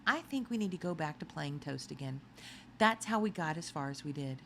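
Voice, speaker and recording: A woman's voice: low-pitched, expressive, brisk, fairly bright tone, slight background noise. A woman voicing a clever, determined young sleuth from a children's novel, explaining things with insistent emphasis. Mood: thoughtful, earnest. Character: clever, determined young narrator hero, eager amateur sleuth.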